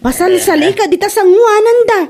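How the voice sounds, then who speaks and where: An actress playing a nagging, teasing wife in a comedy drama. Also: female voice, very high-pitched, brisk, loud music behind the voice. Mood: bickering.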